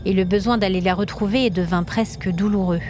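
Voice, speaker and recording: Lady reading a story, medium pitch, expressive n suspenseful, music playing under her. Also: fairly quick. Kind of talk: scripted dramatic story narration in French.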